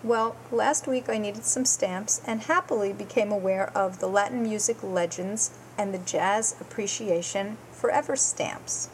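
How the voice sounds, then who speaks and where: A woman's voice: medium pitch, a little slow, few pauses, slight background noise, rough recording. A woman hosting a guitar show, reading a prepared segment in an earnest way and going carefully through lists.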